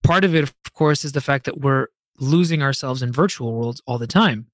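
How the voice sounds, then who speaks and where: A man hosting a solo podcast, speaking in a literate, opinionated way with emphatic phrasing and deliberate pauses, like a reflective essay read to listeners. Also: medium pitch, no room sound. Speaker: podcast host.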